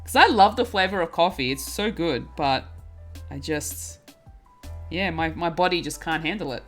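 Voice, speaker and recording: Young woman, low voice, easygoing chatty streamer who laughs a lot and trips over her words; faint music underneath. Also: lively intonation, moderate pace. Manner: chatty, giggly, repeats and restarts words. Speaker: craft streamer. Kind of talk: casual chat while crafting, reading chat.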